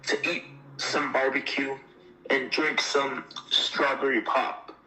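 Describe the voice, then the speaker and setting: A man's voice: mid-range voice, level tone, slow, background noise. A man reading a children's picture book aloud as a storyteller.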